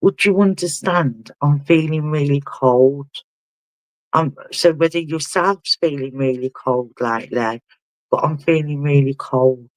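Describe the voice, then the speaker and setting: A man working as a spiritual medium, giving a live reading in a halting way and often asking the sitter whether it makes sense. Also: a little high, no room sound, rough recording. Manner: halting, relays messages and keeps asking the sitter to confirm.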